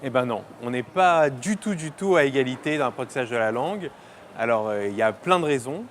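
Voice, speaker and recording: A man appearing as a doctor on a talk show explains things calmly in a mid-range voice. There is a faint hiss in the background. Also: mid-range voice, brisk. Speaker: doctor guest.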